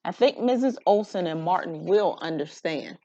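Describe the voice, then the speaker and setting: A woman voicing a gentle, reassuring father of a young child in a storybook, patient and soothing. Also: lowish voice, lively intonation, moderate pace, dull audio, a little hiss or hum.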